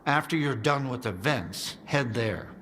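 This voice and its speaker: A man's voice: mid-range voice, lively intonation, a little slow, natural voice. A man voicing a worried male survivor in a video game, explaining things in an earnest, urgent way. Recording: game audio with clear ambient noise.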